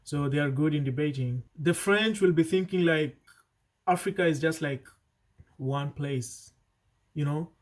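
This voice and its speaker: A man's voice: a little high, measured pace. A young man talking casually to camera, earnest and chatty, often restarting his sentences and adding asides. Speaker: vlogger. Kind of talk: casual vlog advice to camera.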